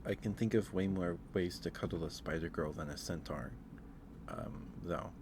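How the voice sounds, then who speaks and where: A man's voice: sits low, moderate pace, creaky, background noise. A man hosting a casual ASMR livestream, talking in a rambling, hesitant way and often repeating his phrases. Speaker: livestream host.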